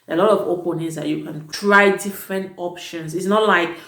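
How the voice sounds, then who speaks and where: A woman's voice: lowish voice, fairly even intonation, a little fast, fairly bright tone. A woman who works as a teacher, giving casual advice in a vlog, chatty and emphatic, often correcting herself mid-sentence. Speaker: vlogger advising prospective students; teacher at an international school. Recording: echoey room.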